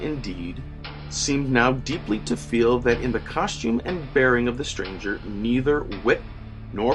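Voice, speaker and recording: A man narrates gothic horror in a theatrical, measured style at a medium pitch. His delivery is formal and dramatic, with music running underneath. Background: background music.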